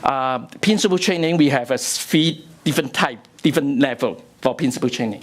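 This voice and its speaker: A man from Hong Kong, a native Cantonese speaker, interpreting into English in a lecture room, with halting phrasing and many fillers. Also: mid-range voice. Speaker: interpreter and academic.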